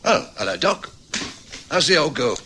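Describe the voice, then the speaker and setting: An actor playing a breezy, prying working-class London husband with a London accent, chummy and wheedling, in an old radio drama. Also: male voice, on the high side, sing-song, moderate pace, sharp tone, slight background noise. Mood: offhand.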